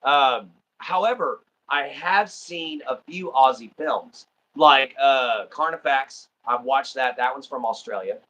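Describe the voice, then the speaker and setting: Texan guy hosting a stream, bright voice, rambly and laid back, lots of uhs. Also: medium pitch, a little slow, few pauses, lo-fi sound. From Southeast Texas. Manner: rambling, easygoing, many ums and uhs. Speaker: livestream host. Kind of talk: casual chat.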